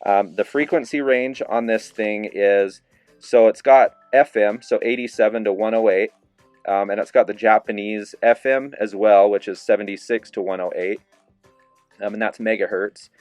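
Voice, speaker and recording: A man with a low, smooth voice gives a casual, easygoing radio review. The sound is dry and close, with faint music underneath. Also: moderate pace, no room sound. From Idaho. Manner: relaxed, easygoing with fillers, demonstrating a device.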